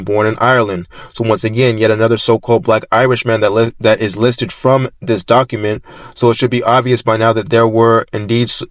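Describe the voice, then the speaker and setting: A man's voice: lowish voice, no room sound. A man narrating in an informal explanatory style, reading records aloud and often repeating and rephrasing himself as he goes. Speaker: narrator presenting archive records.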